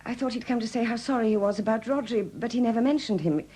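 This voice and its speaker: A worried woman in a seventies radio drama. Her voice is breathy and rather high, and she speaks in a hesitant, apologetic way. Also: fast talker, mellow. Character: anxious, hesitant Welsh woman, troubled and polite. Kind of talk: 1970s radio drama acting.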